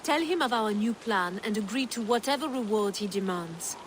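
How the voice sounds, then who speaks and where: A woman's voice: medium pitch, expressive, normal speed, slight background noise, lightly acted. An actress playing a poised, bitter noblewoman in a video game, speaking in arch, dry, elegant phrases. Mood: brisk, scheming. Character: poised, bitter Frankish noblewoman, unhappy wife. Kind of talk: video game cutscene acting. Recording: long hall reverb, prominent music.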